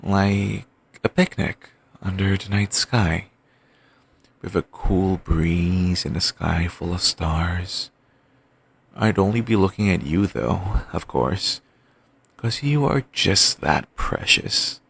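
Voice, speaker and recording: A young man voicing an obsessive, doting boyfriend in an ASMR audio roleplay, murmuring soft, soothing, controlling reassurances. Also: slow, gravelly, husky, exaggerated voice. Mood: tender. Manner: coaxing, endlessly affectionate, quietly controlling. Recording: home mic, low-quality.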